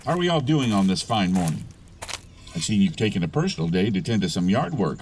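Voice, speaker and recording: A man's voice: fairly low pitch, very animated, fairly quick, a little mellow, slight background noise. A man playing a nosy, overly polite neighbor with a prying manner in a horror audio drama. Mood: cheery, needling. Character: Walter, nosy, chatty male neighbor, falsely sympathetic.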